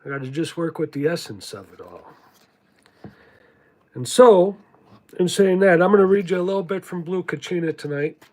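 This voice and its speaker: A man giving a contemplative spiritual monologue in a halting, deliberate way, repeating words for emphasis. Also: on the high side, darkish tone. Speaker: spiritual teacher reading from own writings.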